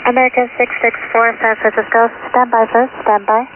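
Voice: mid-range voice, flat delivery, brisk. An air traffic operator talks over a narrow, phone-like HF radio channel. The delivery is formal and clipped, with procedural phrases and readbacks. Some static sits behind the voice. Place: recorded off shortwave radio receiver.

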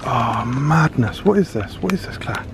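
British male food vlogger, gravelly, chatty and curious, handheld mic in busy store noise. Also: medium pitch, expressive, moderate pace. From United Kingdom. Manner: chatty, curious, exclaiming over products. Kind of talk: casual running commentary while shopping. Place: large supermarket aisles, indoors.

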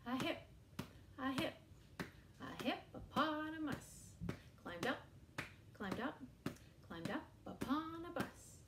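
A woman in her forties leads a children's rhyme in a bright, high, lilting voice that swoops up and down. She speaks slowly and with exaggerated emphasis, as if to toddlers. She was recorded in a small room with echo and faint background noise. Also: very animated. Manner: slow, sing-song and exaggerated for young children. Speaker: early years educator. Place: small indoor room against a bare wall.

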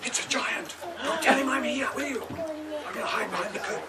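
A man's voice: very high voice, lively intonation, brisk. A man voicing a naive, timid boy who speaks hesitantly in a children's puppet show. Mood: nervous. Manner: hesitant, repeats words, asks the children questions. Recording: far off outdoors, noisy children's crowd.

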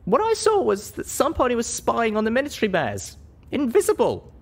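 A man's voice: very high-pitched, huge pitch swings, moderate pace, voice pushed up, full character voice. A man voicing a small, stubborn young female pony in an earnest, emphatic storybook reading. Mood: indignant, insistent.

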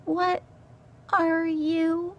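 A woman's voice: lively intonation, measured pace, gravelly, full character voice. A woman voicing a small, timid young female creature in a storybook, plaintive and pleading. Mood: frightened. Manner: high, plaintive, stammering pleas.

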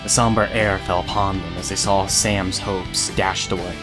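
A man narrates an audio drama from a script. His voice sits on the low side, with music playing softly beneath it. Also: level tone, normal speed.

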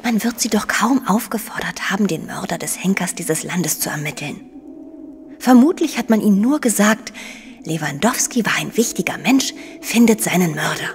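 An actress playing an anxious but cheeky female journalist in a crime audio drama, expressive and agitated. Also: medium pitch, normal speed, a little hiss or hum, lightly acted. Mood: hushed, worried. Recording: reverberant room sound.

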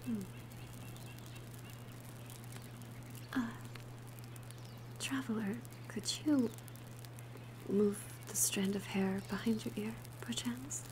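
A woman voicing a gentle, shy young female alchemist in an ASMR roleplay, softly breathy, hesitant and tender. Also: medium pitch, slow pace, pauses often, background noise, natural voice. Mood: gentle, focused. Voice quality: soft, breathy whisper.

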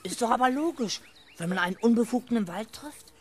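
An actor playing an earnest, precocious young schoolboy in a 1970s children's radio play, matter-of-fact and self-assured. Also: male voice, very high voice, expressive, fairly quick, husky, full character voice. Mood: polite, earnest. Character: earnest, inventive young schoolboy with big plans.

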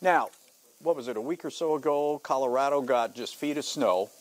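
A man's voice: mid-range voice, moderate pace, no room sound. A middle-aged man hosting a morning TV show, easygoing and joking with his guest in a studio kitchen. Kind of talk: friendly studio interview.

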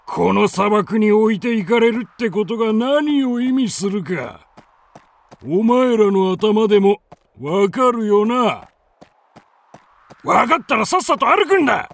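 An actor playing a domineering nomad raider chieftain in a radio drama, with rough, commanding, coarse speech. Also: male voice, high voice, animated, measured pace, no room sound, full character voice. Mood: harsh, commanding.

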